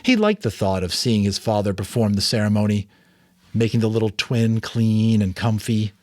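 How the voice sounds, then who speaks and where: A man narrating a novel as a dramatic, expressive audiobook reading. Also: on the low side, normal speed, no echo, clean studio sound. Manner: expressive, theatrical.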